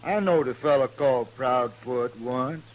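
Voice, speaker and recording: A man's voice: mid-range voice, lightly acted. An actor playing a stubborn, menacing backwoods man with a rustic Ozark accent in a radio Western. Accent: Southern US mountain, Ozark. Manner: blunt, slow-witted, rustic dialect. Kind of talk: old-time radio Western acting. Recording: noisy old radio recording.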